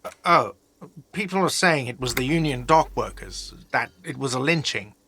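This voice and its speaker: An actor playing an exasperated, sarcastic hostel manager in a video game, with an irritated, condescending way of talking. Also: male voice, medium pitch, animated, normal speed, pushed and bright, slight background noise. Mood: uneasy, evasive.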